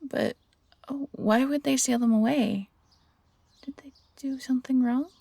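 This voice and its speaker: Husky, creaky young fox-hybrid woman, acted by a woman. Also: high voice, a little slow, mellow, no echo, heavily acted. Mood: puzzled, concerned. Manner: earnest, questioning. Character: earnest, pleading young female fox hybrid adventurer. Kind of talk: fantasy audio roleplay acting.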